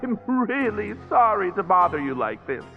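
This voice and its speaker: High-pitched, timid, flustered youth. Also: male voice, very animated, normal speed, phone-quality sound, music in the background. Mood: flustered, apologetic. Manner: hesitant, polite, stammering. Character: timid, flustered, apologetic young man hiding away, pleading. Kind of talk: video game character dialogue.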